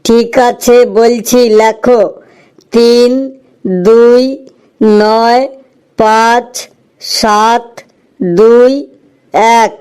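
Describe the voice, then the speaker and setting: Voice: medium pitch, little pitch movement, extremely slow, long pauses, darkish tone, smooth. An actor voicing a cheeky, impatient young student in a comedy cartoon, arguing and talking back. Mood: wary, boastful.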